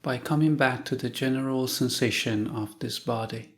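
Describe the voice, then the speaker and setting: A man leading a guided meditation in short, calm phrases with long pauses. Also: mid-range voice. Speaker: meditation teacher.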